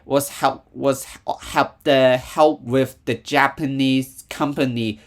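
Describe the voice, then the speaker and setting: A man from Hong Kong with a Cantonese accent, a native Cantonese speaker hosting a reaction video, talking in halting English full of fillers and restarts. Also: medium pitch, steady tone. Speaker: reaction channel host. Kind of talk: casual reaction commentary.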